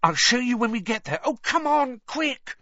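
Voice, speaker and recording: A man's voice: a little high, wildly swooping pitch, a little slow, fairly bright tone, husky, no echo, dull audio, pitched above the performer's own voice, full character voice. A man voicing an eager, bossy teenage schoolgirl with an urgent, insistent manner, in an audiobook. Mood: excited.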